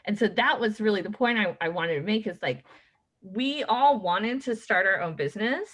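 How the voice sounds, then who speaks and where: A woman in her late thirties hosts a casual talk show at a medium pitch. Her voice swoops up and down a lot as she chats, and she fills her talk with ums and likes. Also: normal speed. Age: late 30s. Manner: chatty, animated, many fillers. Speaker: podcast host. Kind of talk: casual chat.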